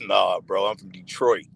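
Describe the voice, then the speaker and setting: Southern male caller, gravelly, halting and defensive. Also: deep voice, steady tone, fairly bright tone, no room sound. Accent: Southern American. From Alabama. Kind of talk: casual call-in debate.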